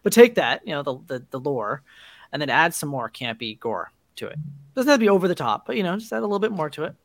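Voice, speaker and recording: A man's voice: on the high side, very animated, fast talker, no echo. A man hosting a podcast in casual conversation, agreeable and often chiming in. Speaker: podcast host.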